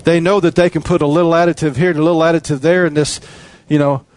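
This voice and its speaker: A man's voice: on the high side, steady tone, quick pace, darkish tone. A man preaching a sermon with an emphatic, repetitive cadence.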